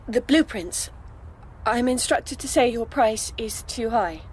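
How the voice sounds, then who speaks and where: An actress playing a polite but uneasy espionage go-between in a radio drama, formal and hesitant. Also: female voice, on the high side, animated, slow pace, slight background noise. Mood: guarded, businesslike.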